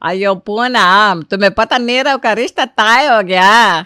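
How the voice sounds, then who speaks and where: A woman voicing an excitable older mother-in-law in a cartoon story, full of quirky exclamations. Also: mid-range voice, slow pace, smooth, no echo, clean studio sound. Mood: proud, excited. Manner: exclaiming, with quirky interjections and broken grammar.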